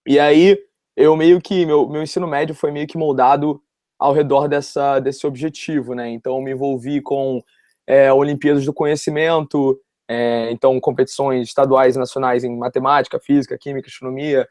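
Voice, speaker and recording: A man's voice: medium pitch, steady tone, moderate pace, a little mellow, no room sound, clean studio sound. A man in his early twenties with a Rio de Janeiro accent, telling his story casually and in a rambling, informal way. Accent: Rio de Janeiro Brazilian Portuguese. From West Zone of Rio de Janeiro, Brazil. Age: early 20s. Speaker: interview guest, university student. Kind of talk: casual personal storytelling in an interview.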